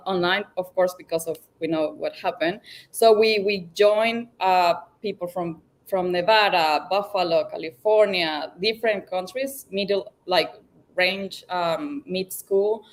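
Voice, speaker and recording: A woman from Venezuela, talking as a guest on a video call, halting with fillers and restarts. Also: mid-range voice. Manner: halting, with many fillers and restarts, laughs often. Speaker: guest engineer. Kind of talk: casual interview chat.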